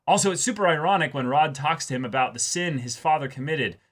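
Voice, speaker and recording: A man co-hosts a casual reaction discussion in a crisp, bright voice that sits a little high. He talks haltingly, doubling back and repeating phrases as he works through his commentary. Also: expressive. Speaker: co-host of an anime reaction show.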